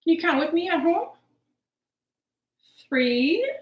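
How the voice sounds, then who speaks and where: High-voiced, unhurried woman hosting children's storytime. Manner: animated, encouraging, playful exaggeration for small children. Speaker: children's storytime host at a public library. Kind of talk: children's storytime, rhymes and counting. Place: living room at home. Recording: roomy, echoing home recording.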